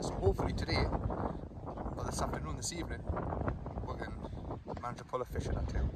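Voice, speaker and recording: A man in his thirties with a North East English accent, chatting casually as he presents an outdoor fishing vlog on a phone. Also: mid-range voice. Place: outdoors on a riverside wooden jetty. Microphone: phone at arm's length. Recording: windy outdoor phone recording, loud noise.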